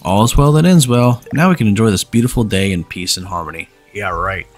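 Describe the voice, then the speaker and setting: A man's voice: fairly low pitch, expressive, a little fast. A man in his thirties with a stuffed-up nose from a cold, hosting a casual let's-play and chatting as he plays, sometimes reading the game's dialogue aloud in his own voice. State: congested from a cold. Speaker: gaming channel host. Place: home room, webcam in corner. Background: faint game music.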